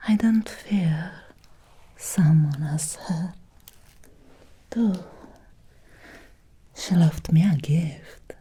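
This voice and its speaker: Woman playing a slow, whispering ancient vampire woman. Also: sits low, dark tone, heavily acted. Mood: possessive, haughty. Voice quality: breathy whisper. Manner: slow, deliberate, lingering pauses. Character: ancient female vampire, possessive, seductive, quietly menacing. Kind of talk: whispered ASMR roleplay.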